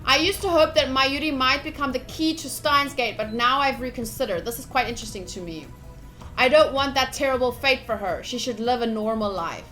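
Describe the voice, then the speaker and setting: A woman with a fairly high voice streams a visual novel. She reads the text aloud expressively and chats casually, with an excitable, playfully dramatic way of talking. Game music plays behind her. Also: fairly quick, poor audio. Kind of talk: livestream playthrough, reading game text aloud with casual commentary.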